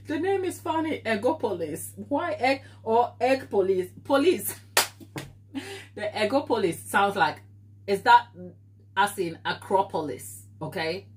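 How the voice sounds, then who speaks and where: A woman's voice: mid-range voice, animated, slow, long pauses, a little hiss or hum. Ghanaian woman, lightly English-tinged accent, medium voice, easygoing livestream baker who chats and drifts onto tangents. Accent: Ghanaian with English influence. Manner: chatty, tangent-prone, reads and answers viewer comments. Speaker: baking livestream host. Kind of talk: casual live-stream chat while baking.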